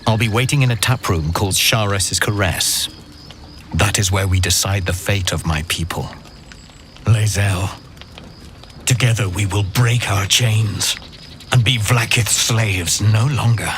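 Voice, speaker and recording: Grave alien rebel knight, unhurried, formal, fantasy game drama, music underneath. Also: male voice, lowish voice, a bit bright. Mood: solemn, earnest. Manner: solemn, deliberate, formal. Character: grave githyanki knight, a secret rebel against his queen.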